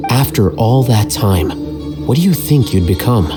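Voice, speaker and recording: A man voicing a calculating young scholar in a video game, measured, aloof and matter-of-fact. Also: medium pitch, lively intonation, lightly acted. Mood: aloof, explanatory. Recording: clear music behind the voice.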